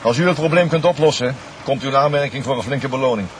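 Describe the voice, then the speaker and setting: A man's voice: mid-range voice, fast talker, natural voice. Weary Dutch police detective, played by a man, noisy recording. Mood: weary, explaining. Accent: Netherlands Dutch. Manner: matter-of-fact, clipped questioning. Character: weary, sleepless male police detective investigating murders. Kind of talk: naturalistic radio drama acting.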